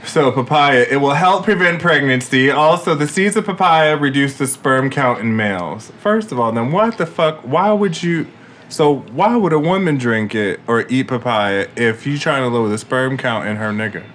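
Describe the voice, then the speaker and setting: A man's voice: mid-range voice, lively intonation, moderate pace, a bit bright, a little hiss or hum. A man co-hosting a podcast in casual chat, joking and cursing freely. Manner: joking, profane, laid-back. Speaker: podcast co-host.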